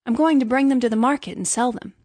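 A woman's voice: fairly high pitch, fast, darkish tone, no echo, lightly acted. A woman voicing a naive, good-hearted little man in a storybook, earnest, apologetic and eager to please. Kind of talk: storybook character voice.

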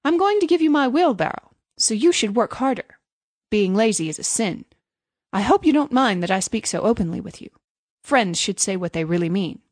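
A woman's voice: medium pitch, very animated, normal speed, a little mellow, no echo. A woman voicing a pompous, greedy male miller in a storybook, preachy and self-satisfied while posing as generous. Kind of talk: storybook character voice.

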